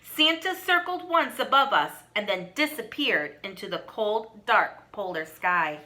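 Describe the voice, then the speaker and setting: A woman reading a children's story aloud, with expressive, dramatic storytelling. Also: mid-range voice, unhurried. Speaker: storybook reader. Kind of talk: children's read-aloud narration.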